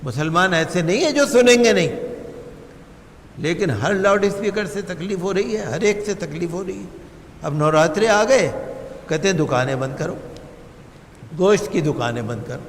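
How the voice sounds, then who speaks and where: A man's voice: fairly high pitch, slight background noise. A man preaching as an imam, in an impassioned, oratorical way full of repetition and rhetorical questions. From India. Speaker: imam giving a sermon. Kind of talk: impassioned sermon on public affairs. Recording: long hall reverb.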